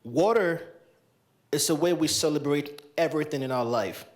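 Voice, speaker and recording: Brief: young man from Ghana, solemn ceremonial libation leader, slightly higher voice, handheld mic. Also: normal speed. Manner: solemn, repetitive invocations. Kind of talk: ceremonial address. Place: large indoor hall.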